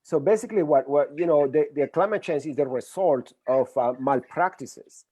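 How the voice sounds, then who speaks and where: A man's voice: a little high, normal speed, mellow, no room sound. A middle-aged man from Colombia with a Colombian accent, a Spanish speaker talking English earnestly as a guest expert in an interview, with many restarts. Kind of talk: conversational interview.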